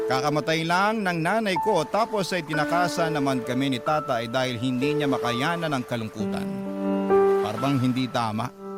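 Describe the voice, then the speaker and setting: Radio drama narrator, male actor: young Filipino man, sorrowful first-person storytelling, lightly high voice, prominent music. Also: lightly acted. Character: sorrowful young man recounting a poor childhood. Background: loud music.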